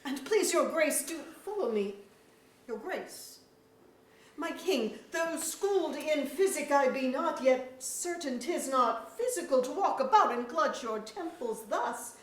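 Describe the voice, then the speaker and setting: A woman's voice: sits high, expressive, a little slow, a bit bright. A woman playing an earnest, loyal royal counsellor in a staged reading of archaic verse drama. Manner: courtly archaic verse delivery. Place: indoor auditorium stage. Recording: echoey auditorium with faint noise.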